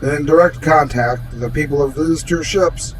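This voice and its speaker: An actor playing a calm alien envoy in a sci-fi radio drama, with stilted, formal phrasing. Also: male voice, a little high, normal speed, soft background music, close to an everyday voice, poor audio. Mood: measured, grave. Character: calm, formal alien envoy speaking through translation machine.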